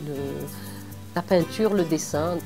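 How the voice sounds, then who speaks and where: A middle-aged woman from Morocco who is an artist, speaking thoughtfully in an interview with frequent hesitant pauses. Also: on the low side, loud music behind the voice. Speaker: artist and cultural organizer. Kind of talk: reflective interview.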